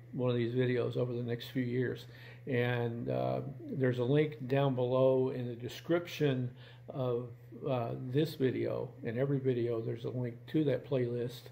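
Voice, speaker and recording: A plain-spoken man in his sixties talking casually and haltingly to camera in a room at home, as host of his channel. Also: medium pitch, creaky, dark tone, slight background noise. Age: 60s.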